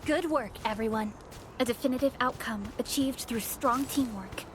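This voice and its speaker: An actress playing a composed, earnest female tactical officer in a video game, with measured, formal, precise delivery. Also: on the high side, background noise, close to an everyday voice. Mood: composed briefing.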